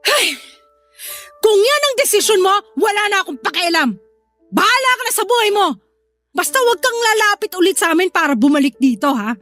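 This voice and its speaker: A very high female voice with a Filipino accent , an actress as a hot-tempered big sister. She nags and challenges in melodramatic radio-drama dialogue. Music plays softly behind her. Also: big pitch swings, brisk, full character voice. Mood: scolding. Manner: nagging, confrontational. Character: bossy, hot-tempered working older sister, scolding.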